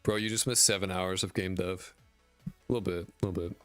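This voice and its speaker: A man streaming after twelve hours, his raspy voice worn out, who mumbles and rambles casually with lots of fillers and swearing. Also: sits low, steady tone, fairly quick, fairly bright tone, faint music behind. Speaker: indie game dev streamer. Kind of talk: casual live-stream chat reading viewer messages. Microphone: desk mic with a high-pass filter.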